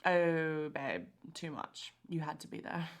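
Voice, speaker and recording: A self-absorbed teenage girl hosting a family quiz. Her voice sits a little low for a female, and she chatters in gossipy, youthful slang. The delivery is natural screen drama. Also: slow. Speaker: quiz host in the story.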